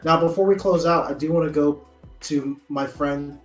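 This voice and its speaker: A man's voice: on the high side, moderate pace. Male host, echoey room, music behind, casual banter, slangy, joking. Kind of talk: casual chat on a live stream. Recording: roomy echo, music behind.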